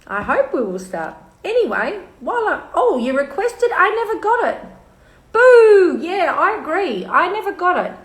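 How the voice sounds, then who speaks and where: A high-voiced Australian woman hosts a casual live-stream chat, easygoing and full of fillers. Her voice rings around an echoey room. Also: very animated, normal speed. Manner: chatty, filler-heavy, easygoing. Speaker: host.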